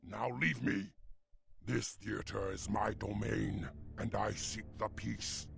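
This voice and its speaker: A man voicing a bitter, distrustful gargoyle, a freed slave in a video game, with a growling, gravelly voice and archaic, menacing speech. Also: lowish voice, fairly even intonation, takes it slowly, heavily acted. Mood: grim resolve. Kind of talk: dramatic video game voice acting. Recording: music playing behind. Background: music.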